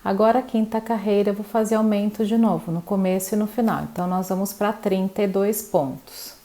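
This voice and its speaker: Mellow-voiced crochet teacher. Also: female voice, mid-range voice, steady tone, a little fast. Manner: patient, counts stitches aloud, checks in with listeners. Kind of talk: step-by-step craft tutorial.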